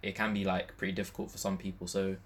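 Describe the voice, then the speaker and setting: A man's voice: deep voice, little pitch movement, fast. A man, talking informally and easily with slang as a podcast co-host. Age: 20s. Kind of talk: casual chat.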